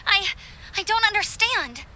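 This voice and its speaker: A woman's voice: very high voice, expressive, a little slow, sharp tone, a little hiss or hum, heavily acted, poor audio. A woman playing an anxious, bewildered young character in a game cutscene, earnest and pleading. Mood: anxious, confused. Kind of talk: animated game cutscene voice acting.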